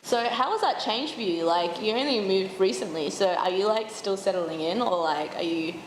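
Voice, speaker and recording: A woman's voice: medium pitch, moderate pace, roomy sound, long reverb tail, a little hiss or hum, poor audio. A woman hosting an interview, asking questions casually with short prompts. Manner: brief prompts and short acknowledgements. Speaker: interview host.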